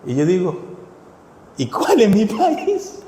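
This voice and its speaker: A male pastor with a blend of Ecuadorian, Chilean and Mexican Spanish accents, preaching a sermon with rhetorical questions that builds to fervent shouts. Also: high-pitched, wildly swooping pitch, takes it slowly, slight background noise. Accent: mixed Ecuadorian, Chilean and Mexican Spanish. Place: church hall. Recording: echoey hall with long reverb.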